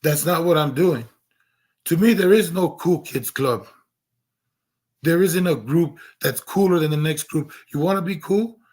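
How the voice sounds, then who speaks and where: A hoarse man losing his voice, talking earnestly on a stream with lots of restarts and fillers. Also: on the high side, a little slow, darkish tone. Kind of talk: casual livestream monologue.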